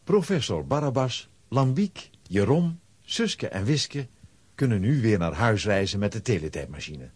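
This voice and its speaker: A man's voice: on the low side, big pitch swings, measured pace, darkish tone, breathy edge, dry recording. A man narrating a children's audio drama in a dramatic, scripted storybook style.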